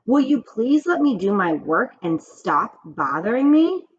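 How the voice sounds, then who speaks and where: A woman's voice: mid-range voice, very animated, a little slow, lo-fi sound, a little hiss or hum, pitched above the performer's own voice. A woman voicing an earnest, exasperated schoolboy in a storybook reading, speaking emphatically. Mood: angry.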